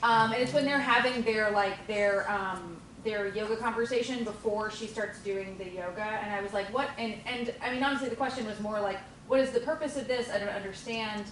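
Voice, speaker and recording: A woman gives frank notes on a script in a casual discussion, animated and swearing freely. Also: medium pitch, a little fast, hardly any pauses, a little hiss or hum. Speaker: audience member giving notes. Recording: echoey room, distant mic.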